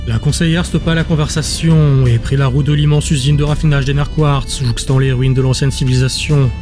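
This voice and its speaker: Male narrator, mid-range pitch, scripted dramatic storytelling, music bed. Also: fairly even intonation, a little fast. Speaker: audiobook narrator.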